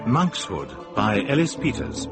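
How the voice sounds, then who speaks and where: A man's voice: medium pitch, wildly swooping pitch, measured pace. A man making a formal scripted announcement for a radio drama. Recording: loud theme music behind.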